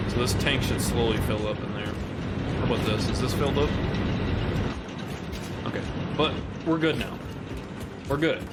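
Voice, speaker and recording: A man streaming a game from home, chatting casually with viewers as his voice grows raspy and rough. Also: mid-range voice. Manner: laid-back, swears casually, talks to chat. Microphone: condenser studio mic. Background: game music.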